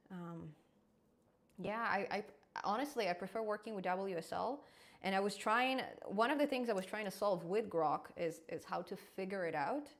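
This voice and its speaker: A young adult woman hosting a live coding stream, chatty and quick to laugh, often restarting her words. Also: mid-range voice. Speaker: programming channel host.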